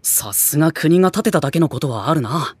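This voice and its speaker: An actor playing a polite, easily flustered teenage hero in an anime audio drama, reacting with exasperation. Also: male voice, fairly high pitch, expressive, fairly quick, darkish tone, breathy edge, dry recording. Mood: bemused.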